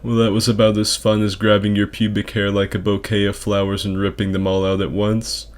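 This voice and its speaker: Low-voiced man doing sarcastic game commentary. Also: completely flat delivery, brisk, faint music behind. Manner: sarcastic, crude, hyperbolic. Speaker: gaming video host. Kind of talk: casual, profane comedic game commentary.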